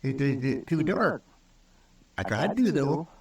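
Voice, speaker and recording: A man's voice: medium pitch, very animated, a little slow. An actor playing a naive, earnest male newcomer to the frontier in an old radio drama, folksy and hesitant. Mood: anxious earnest. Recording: roomy old radio recording, distant from mic.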